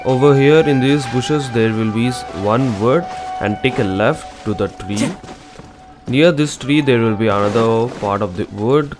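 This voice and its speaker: A man narrating a game walkthrough as an informal, instructional gaming channel host. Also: fairly low pitch. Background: game music.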